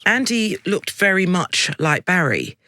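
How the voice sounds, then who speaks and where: A radio interviewer with a mid-pitched voice, asking questions conversationally. The delivery is chatty and engaged. The sound is very dry, close on a studio microphone. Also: lively intonation, a little slow, pushed and bright, dry recording.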